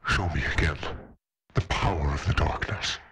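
Moody masked dark knight in a game, low voice, really raspy, intense. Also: male voice, normal speed, full character voice. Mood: tormented, resolute. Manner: brooding, intense. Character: brooding, conflicted young male dark-side warrior in a mask. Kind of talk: cinematic villain acting in game cutscene.

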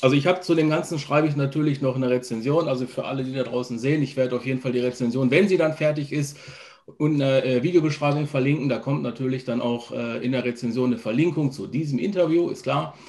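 A man's voice: mid-range voice, fast talker, darkish tone. A man in his thirties hosting a casual interview and putting questions to his guests. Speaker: host, interviewer. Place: home room lined with shelves, video call.

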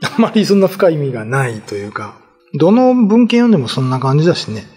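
Husky middle-aged man in long reverb. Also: a little high, big pitch swings, fast talker, darkish tone, faint music behind. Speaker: writer, folklore commentator. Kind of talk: informal explanation.

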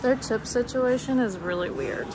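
A woman's voice: a little high, normal speed, music in the background. A woman filming a casual grocery vlog in a store, chatting about the items and prices she sees as she shops. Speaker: vlogger. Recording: loud background store noise.

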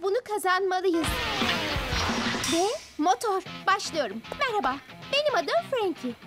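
A woman's voice: extremely high pitch, big pitch swings, unhurried, fairly bright tone, faint music behind, exaggerated voice. A woman voicing an eager, naive teenage monster schoolgirl in a cartoon dub, perky and earnest. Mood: perky, excited. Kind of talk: animated cartoon dubbing.

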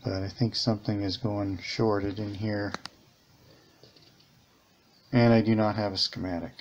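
Slow-talking, low-pitched man. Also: little pitch movement, dull audio, slight background noise. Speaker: electronics repair hobbyist, host. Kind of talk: casual hands-on repair demonstration. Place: indoors at a workbench.

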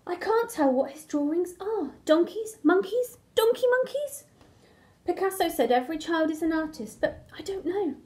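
Woman playing a desperate chunky crayon, high and lively. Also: moderate pace. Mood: desperate, complaining. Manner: pleading, complaining. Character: desperate, worn-out big chunky toddler crayon, pleading.

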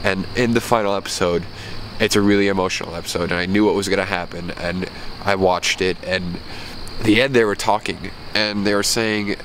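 A man's voice: sits low, a bit bright, slight background noise, poor audio. A young man in his twenties with a stuffed-up nose, talking earnestly and rambling into a handheld camera outdoors at night. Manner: reflective, earnest, rambling with restarts. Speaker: vlogger. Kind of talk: casual vlog talk to camera. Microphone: handheld camera at arm's length. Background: crickets.

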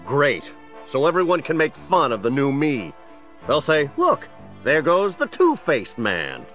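An actor playing a tormented district attorney cracking into a villain, brooding and theatrical, in a superhero audio drama. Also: male voice, a little high, wildly swooping pitch, unhurried, fairly bright tone, soft background music, exaggerated voice. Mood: bitter. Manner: brooding, theatrical, dragging out words. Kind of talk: full-cast superhero audio drama acting.

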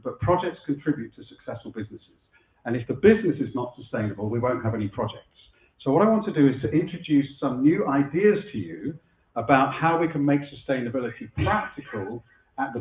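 Middle-aged male keynote speaker, husky from a cold, fillers and restarts; tinny, roomy lecture hall sound. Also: medium pitch. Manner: chatty, self-deprecating, frequent fillers and restarts. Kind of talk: conference keynote talk. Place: lecture room before a live audience. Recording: echoey room over a phone-like line.

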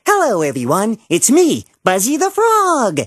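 A woman's voice: fairly high pitch, huge pitch swings, measured pace, husky, no room sound, studio quality, heavily acted. A woman voicing a lazy, flustered young frog puppet in a children's show, hesitant and forgetful. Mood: cheerful, befuddled.

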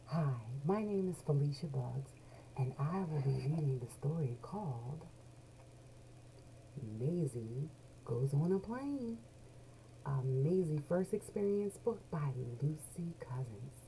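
A middle-aged woman reading a children's picture book aloud expressively, drawing out key words in a small room at home. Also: deep voice. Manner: animated storyteller, deliberate, drawn-out key words. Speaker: children's book read-along host. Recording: noisy home webcam recording.

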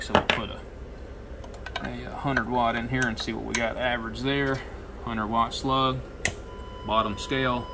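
A man giving a casual, folksy hands-on technical demonstration as a radio technician at an indoor workbench. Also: medium pitch. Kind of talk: casual hands-on product test narration. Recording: steady noise, workshop room ambience.

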